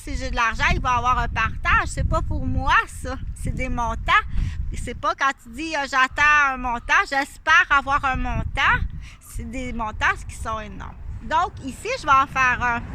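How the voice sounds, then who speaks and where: A woman's voice: high-pitched, noisy background. A woman with a Québécois French accent, talking in a rambling, repetitive monologue and defensively addressing an unnamed person she accuses. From Quebec, Canada. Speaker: vlogger. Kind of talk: rambling direct-to-camera monologue.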